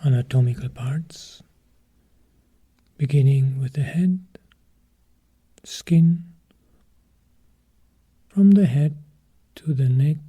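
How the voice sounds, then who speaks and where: A man teaching a guided meditation in a soft, breathy, husky voice, calmly giving short, measured phrases with long pauses between them. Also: mid-range voice.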